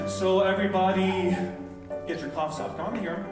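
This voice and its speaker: Man playing a lonely old man, slow and echoey. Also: high voice, heavily acted. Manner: drawn-out, plodding, self-mocking. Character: lonely, dull older man in suspenders, self-deprecating musical-theatre character. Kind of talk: comic musical theatre song performance. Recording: echoey distant stage recording with music.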